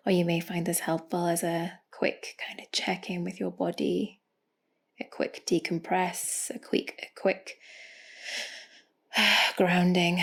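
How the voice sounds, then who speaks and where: Very slow, soft-voiced woman guiding meditation. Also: fairly low pitch, gravelly, husky. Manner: gentle, unhurried, with long pauses. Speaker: meditation guide. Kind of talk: guided meditation, spoken softly and soothingly. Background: music.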